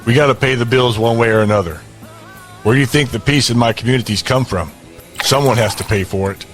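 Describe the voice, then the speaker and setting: An actor playing a cocky, brutal fighter boss in an audio drama, curt, smug and domineering. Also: male voice, mid-range voice, fairly quick, lightly acted. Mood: curt, defiant. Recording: music behind. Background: music.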